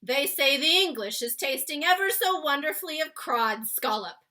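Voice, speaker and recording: A woman's voice: high voice, lively intonation, normal speed, pushed and bright, voice pushed up. A woman voicing a big, friendly male giant from a children's storybook, with muddled grammar and nonsense words in an exclamatory manner. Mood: emphatic, boisterous. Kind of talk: read-aloud children's storybook character.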